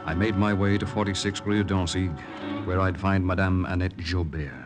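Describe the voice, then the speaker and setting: An actor playing an earnest young Allied secret agent in a 1940s radio drama, polite and dramatic. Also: male voice, low voice, steady tone, moderate pace, natural voice. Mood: grave, reflective. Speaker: narrator and lead actor. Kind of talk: 1940s radio drama, first-person narration and dialogue. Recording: 1940s radio recording with music.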